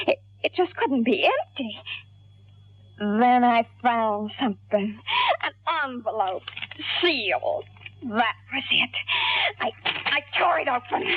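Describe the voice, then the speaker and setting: An actress playing a cold, scheming female gangster in a mid-century radio crime drama, speaking coyly and calculatingly with dramatic pauses. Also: on the high side, breathy edge, no room sound, full character voice. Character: cold scheming female gangster, sly, coolly murderous narrator. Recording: tinny old radio recording.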